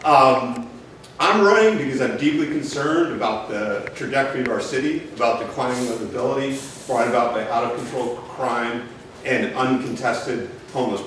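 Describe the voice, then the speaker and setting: A man's voice: medium pitch, slight background noise. A middle-aged man from Portland giving a plain-spoken campaign speech to a neighborhood meeting, projecting without a microphone. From Portland, Oregon. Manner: loud, plain-spoken, speaks without a mic. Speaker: city council candidate. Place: community meeting room. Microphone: far room recording. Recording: very echoey room, voice from far off.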